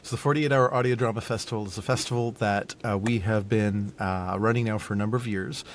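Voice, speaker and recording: Male guest with rumbly background noise. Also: steady tone, quick pace. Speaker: audio drama producer and engineer, interview guest. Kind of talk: casual interview chat.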